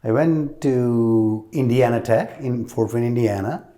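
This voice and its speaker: A man's voice: mid-range voice, measured pace, warm tone. A middle-aged man from Pakistan, an interview guest telling his story candidly, hesitant with many fillers. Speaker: restaurant franchise owner, guest.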